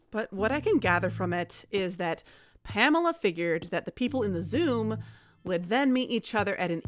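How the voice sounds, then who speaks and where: Actress as earnest young investigator, medium female pitch, serious, careful, fiction podcast delivery. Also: lightly acted. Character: earnest young woman leading an amateur investigation. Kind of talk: fiction-podcast dialogue and narration.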